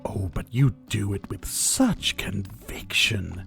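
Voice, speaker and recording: A man's voice: lowish voice, lively intonation, slow pace, gravelly, fairly bright tone, soft background music, heavily acted. A man acting a flirtatious, possessive dictator in an ASMR roleplay, with a theatrical, teasing, menacing delivery. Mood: amused, menacing.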